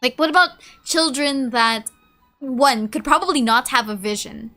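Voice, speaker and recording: A woman's voice: sits high, measured pace, sharp tone, soft background music. A woman streaming a game, chatting casually, musing and reacting aloud as she plays.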